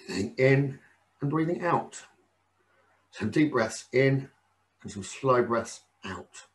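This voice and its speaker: Guy doing guided meditation, calming slow delivery w lots of pauses, medium pitch. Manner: soothing, unhurried, with frequent pauses. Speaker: meditation teacher.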